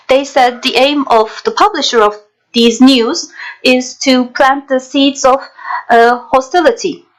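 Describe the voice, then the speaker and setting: A woman narrator and interpreter reading scripted documentary voice-over in a measured, formal way. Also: a little high, rough recording.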